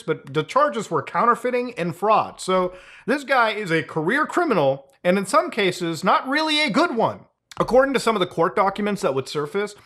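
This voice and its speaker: Young male host with a high voice, sarcastic and excitable, tosses in crude slang and trips over his own words. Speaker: YouTube host. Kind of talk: casual commentary video.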